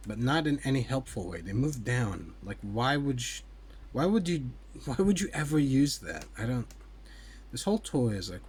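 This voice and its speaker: Low-voiced man rambling through a casual review. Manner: rambling, hesitant, conversational. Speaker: toy reviewer. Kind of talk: casual hands-on product review. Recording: clear steady background noise.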